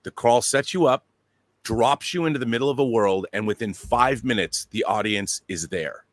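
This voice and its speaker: A man's voice: medium pitch, normal speed, no echo. A man giving emphatic, opinionated panel commentary, repeating himself and asking rhetorical questions. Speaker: show panelist.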